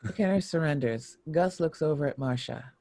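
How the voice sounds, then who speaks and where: A woman narrates stage directions from a screenplay. Her voice is dark and relaxed, recorded close and dry. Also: sits low, moderate pace, no room sound.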